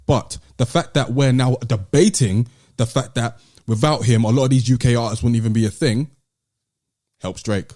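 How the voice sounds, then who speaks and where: A man's voice: medium pitch, fairly even intonation, normal speed, mellow. A British man hosting a podcast, debating music casually and emphatically, repeating himself to drive points home. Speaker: podcast host.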